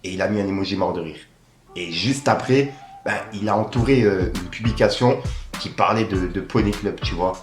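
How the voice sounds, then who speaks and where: Young male news host, low voice, chatty, echoey room with faint music. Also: moderate pace. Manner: chatty, many fillers like "en gros" and "tu vois". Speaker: host of a rap news channel. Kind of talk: casual news roundup.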